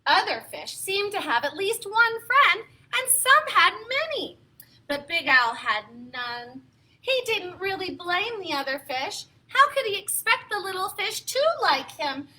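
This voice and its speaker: Female children's storyteller, very high voice, slow expressive pacing, playful read-aloud delivery. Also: poor audio. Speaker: children's storytime host and reader.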